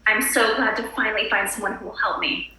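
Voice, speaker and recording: A woman's voice: mid-range voice, fairly even intonation, brisk, sharp tone, far from the mic, a little hiss or hum, natural voice. A woman playing a prim, polite pregnant housewife turned exasperated kidnapper in a screenplay table read, scolding with good manners. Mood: flustered politeness. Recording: roomy echo, away from mic.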